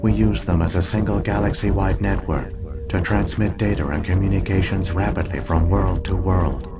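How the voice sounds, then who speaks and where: An actor playing an ancient alien virtual intelligence in a video game, speaking in a measured, formal, solemn and coldly logical manner. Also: male voice, low voice, fairly even intonation, heavy background noise, lightly acted. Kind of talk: scripted video game voice acting, exposition. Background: game music.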